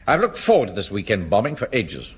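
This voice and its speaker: An actor with an English accent playing a pompous, easily offended middle-aged Home Guard captain in a radio sitcom. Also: male voice, on the low side, wildly swooping pitch, fast talker. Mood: huffy, suspicious. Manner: pompous, hesitant throat-clearing. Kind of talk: British radio sitcom acting. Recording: hissy old radio comedy recording.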